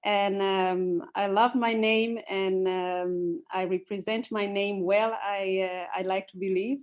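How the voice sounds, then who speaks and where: Unhurried woman telling a folk tale. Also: mid-range voice, steady tone, lo-fi sound. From Tanzania. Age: young adult. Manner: unhurried, with many ums and uhs. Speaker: speaker. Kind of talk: storytelling talk.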